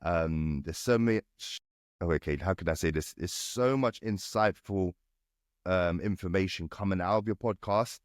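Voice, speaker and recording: A man, a little nasal from a chest cold, hosting a podcast. He is friendly and a bit teasing, and trips over himself with the odd um. His voice is on the low side and sounds dry and close to the mic. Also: moderate pace, no echo. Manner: friendly, teasing, hesitant with ums. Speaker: podcast host.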